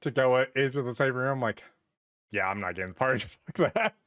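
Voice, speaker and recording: A man's voice: medium pitch, animated, a little fast, a bit bright, dry recording, clean studio sound. A man co-hosting a podcast, chatting casually and bluntly with plenty of swearing and repeated words. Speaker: podcast co-host. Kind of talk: casual chat, anecdotes and opinions.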